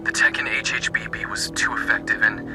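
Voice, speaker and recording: Man playing a halting, haunted captive over music. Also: mid-range voice, fairly even intonation, natural voice. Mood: haunted, determined. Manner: halting, confessional, stammering. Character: weary captive male test subject plotting escape. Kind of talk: game cutscene monologue.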